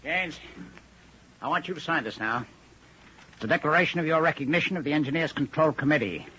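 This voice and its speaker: Tense, blustering rebel, sharp high voice. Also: male voice, brisk. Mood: menacing. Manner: tense, defensive, threatening. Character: insecure, blustering male rebel engineer, dangerous and brittle. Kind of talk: 1950s radio drama acting. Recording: dull, noisy 1950 radio broadcast.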